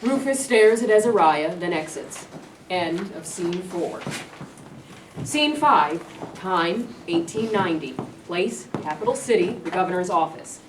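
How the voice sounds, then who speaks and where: A woman's voice: fairly low pitch, expressive, measured pace. A woman reading stage directions as scripted narration at a staged play reading. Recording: distant echoey room, faint noise.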